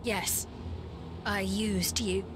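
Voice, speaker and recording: A woman's voice: medium pitch, steady tone, very slow, background noise. An actress playing a devoted but deceptive young queen in a fantasy video game, speaking in a formal, solemn manner. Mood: cold confession.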